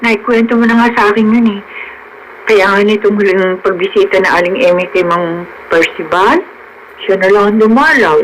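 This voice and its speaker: A woman's voice: medium pitch, normal speed, slight background noise, lightly acted, rough recording. A woman playing a loyal, sympathetic young friend in a radio drama, speaking in an encouraging way. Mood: concerned. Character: loyal, reassuring young friend of a troubled girl.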